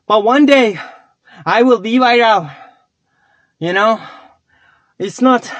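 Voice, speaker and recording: A man's voice: high voice, no echo. A man whose first language is not English, rambling and swearing casually as he talks to his chat in a vlog. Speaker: vlogger. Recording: dull lo-fi sound.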